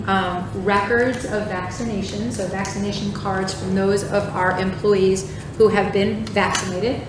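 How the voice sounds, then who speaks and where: A woman school administrator presenting at a board meeting without a microphone, speaking up loudly and chatting with easy laughs. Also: medium pitch. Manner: loud, chatty, laughs easily. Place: large meeting room. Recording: echoey meeting room from far off, hum.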